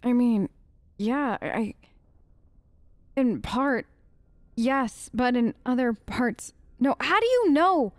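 A woman's voice: a little high, expressive, takes it slowly, long pauses, no room sound. A woman doing casual improvised role-play as a gamer, talking in a hesitant, conversational way close to the mic. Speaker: let's play gamer speaking as her character.

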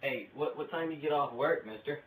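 A man's voice: a little high, fairly quick, a bit bright. Roomy, distant-sounding young man. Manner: hesitant, rambling, crude. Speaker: video creator making prank calls. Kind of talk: casual prank phone call. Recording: roomy, distant mic with background noise.

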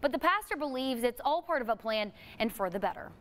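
Young woman reporter, higher clear voice. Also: expressive, a little fast. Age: young adult. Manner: bright, articulate reporter delivery. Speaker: news reporter. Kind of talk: news report and studio chat. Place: TV news studio.

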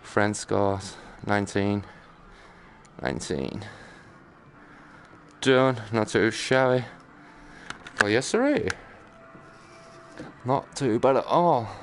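Gravelly man commentating on his gaming. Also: on the low side, sing-song, slow pace, pauses often. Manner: self-deprecating, jokey muttering to himself; exclaims and talks to the game. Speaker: gaming streamer. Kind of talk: casual live game commentary. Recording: noticeable background noise, game ambience.

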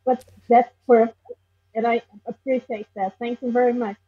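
A woman's voice: fairly high pitch, level tone, moderate pace, breathy edge. A woman from Portugal, a native Portuguese speaker with a Portuguese accent, answering briefly and politely as a workshop participant on a video call. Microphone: video call webcam. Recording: dull lo-fi video call audio.

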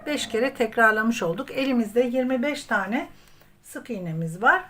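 A woman's voice: a little high, expressive, normal speed, a bit bright. A woman hosting a step-by-step craft tutorial, talking as she works and addressing viewers as friends. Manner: counts stitches aloud, addresses viewers as friends. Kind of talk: step-by-step crochet tutorial narration while working. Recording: clear background noise, room ambience.

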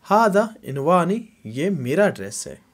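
A man from Pakistan filming a casual language lesson in a small room, repeating example phrases slowly and raising his voice on them. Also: high voice, very animated. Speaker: language teacher.

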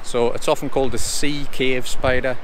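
A man's voice: mid-range voice, flat delivery, moderate pace, noisy background. A middle-aged man narrating a nature vlog casually and informally, explaining things as he goes. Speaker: nature vlogger. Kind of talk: casual outdoor narration to camera. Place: outdoors on a coastal path.

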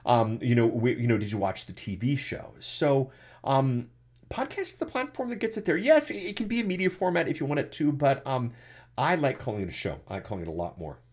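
A man in his forties with a deep voice, hosting a live webcast and answering chat questions about podcasting from his desk. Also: fairly quick. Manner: animated, repeats words when starting a thought. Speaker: podcasting coach and webcast host. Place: indoors at a desk, webcam framing. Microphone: desktop USB microphone.

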